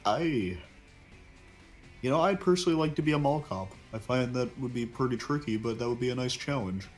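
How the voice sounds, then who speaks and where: A man voicing a calm, polite ninja chameleon detective, chatting in character in a measured, thoughtful way over a game. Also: mid-range voice, natural voice. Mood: considering. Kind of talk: casual gaming chat in character. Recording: music behind the voice.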